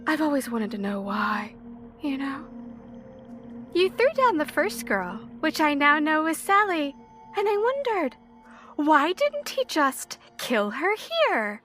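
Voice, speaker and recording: A woman's voice: high voice, very animated, takes it slowly, fairly bright tone, faint music behind, full character voice. An actress playing an ancient serpent monster posing as a seductive woman, mocking her prey in a sing-song, mock-sweet way for an audio drama. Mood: teasing, gloating.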